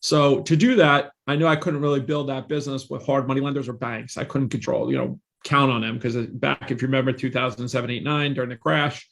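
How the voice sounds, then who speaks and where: A man's voice: mid-range voice, steady tone, fairly quick, gravelly, hardly any pauses, fairly bright tone. A man in his forties, a guest on a podcast, telling his career story in a confident, salesy way with emphatic repetition. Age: 40s. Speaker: real estate investor and guest.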